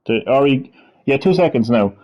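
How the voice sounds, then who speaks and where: A man hosting a casual gaming stream, rambling, laughing often and reading web text aloud haltingly. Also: medium pitch, expressive, darkish tone. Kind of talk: casual gameplay chat.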